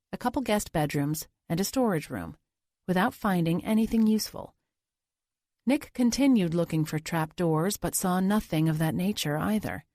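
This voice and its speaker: A woman's voice: on the low side, warm tone, no room sound, studio quality. A woman reading scripted thriller audiobook narration.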